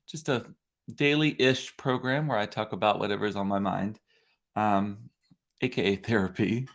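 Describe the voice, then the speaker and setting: A man's voice: fairly low pitch, moderate pace, vocal fry. A congested, sniffly man in his forties hosting a casual livestream from a room at home, rambling and chatty as he tells stories. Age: 40s. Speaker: livestream host. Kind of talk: casual solo livestream chat.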